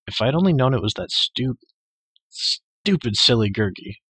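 An impatient young pig keeper speaks up high and urgent, as a man reads him aloud. His tone has a tense, husky edge, quick to anger. The recording is close and dry. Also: big pitch swings, a bit bright, no room sound. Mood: angry. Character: anxious, hot-tempered young male pig keeper. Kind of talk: audiobook character voice.

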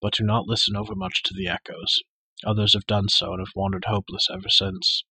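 Man voicing a calm noble warrior, low husky voice, measured, dry close sound. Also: no room sound, full character voice. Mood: grave. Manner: measured, grave and gentle. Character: wise, calm grown warrior lord. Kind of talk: audiobook character voice.

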